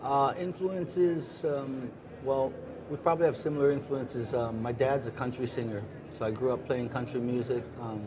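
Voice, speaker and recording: A middle-aged man from Hawaii, a musician, answering interview questions in a relaxed, rambling way with many pauses and restarts. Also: medium pitch. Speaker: musician guest. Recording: busy crowd noise behind.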